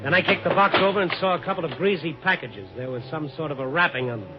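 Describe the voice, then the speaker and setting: An actor with an American accent playing a weary, wry newspaper reporter in a 1950s radio drama, both narrating and talking in scenes. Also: male voice, a little high, quick pace, lightly acted. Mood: grave narration. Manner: wry, hard-boiled. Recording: dull 1950s radio recording with music.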